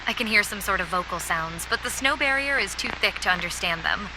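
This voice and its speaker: A woman's voice: medium pitch, lively intonation, a little fast, background noise, natural voice. A woman playing a stranded survivor keeping an audio diary, speaking in a wry, reflective way. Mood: uneasy. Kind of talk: analog horror audio diary acting.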